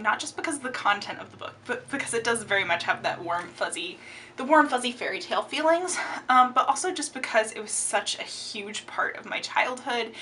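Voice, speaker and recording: Young woman book vlogger, bright and lively, slightly off-mic with faint room hiss. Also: a little high, recorded from a distance. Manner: chatty and expressive, gestures a lot. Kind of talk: casual book tag chat. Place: home room with bookshelves. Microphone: camera framing, upper body.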